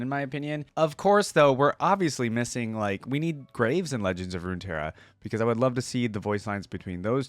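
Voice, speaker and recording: Casting: male reaction host, medium pitch, informal and chatty, dry close mic. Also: no echo. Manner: informal, conversational. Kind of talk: casual reaction commentary.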